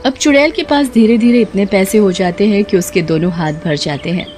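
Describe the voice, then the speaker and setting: A woman's voice: medium pitch, fairly quick, a little mellow, slight background noise. A woman narrating a scripted tale as a storyteller.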